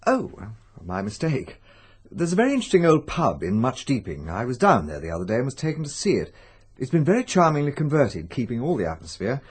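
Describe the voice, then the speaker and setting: An actor playing a courteous, cautious gentleman investigator in a radio drama, polite, probing and sceptical. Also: mid-range voice, very animated, brisk, husky. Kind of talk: 1940s-style British radio drama acting.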